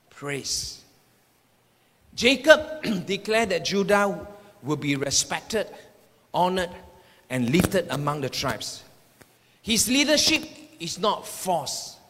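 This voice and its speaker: A male preacher with a high-pitched, forceful voice delivers a sermon in a hall with long reverb. He speaks in emphatic declarations, often repeating phrases for weight. His tone is bright and sharp as he addresses the congregation. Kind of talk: sermon with personal prophetic words to the congregation.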